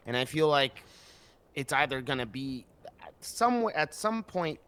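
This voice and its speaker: A man with a mid-range voice co-hosts a casual sports podcast. He speaks in an easygoing, halting way and often repeats his words. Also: mid-range voice, sing-song, fairly bright tone, clean tone. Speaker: podcast co-host.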